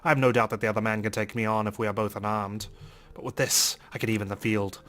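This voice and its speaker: A man's voice: fairly low pitch, flat delivery, fast, fairly bright tone. A man reading a visual novel aloud as a let's play host, in a dramatic first-person narration style. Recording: music under the voice.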